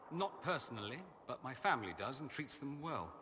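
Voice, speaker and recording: Game companion: a sardonic, self-amused mage noble, crisp bright voice, lively pitch, reverb tail. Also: male voice, fairly quick, a little hiss or hum. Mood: wry. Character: witty, vain male mage nobleman, wry and worldly. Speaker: companion character. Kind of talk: video game dialogue.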